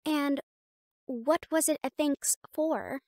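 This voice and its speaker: A snobby catgirl cheerleader, voiced by a woman, sits high in her voice and talks down to everyone with a teasing edge. It sounds like a dry, close recording with no room around it. She stays bossy and catty throughout. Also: slow, no room sound. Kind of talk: audio roleplay acting.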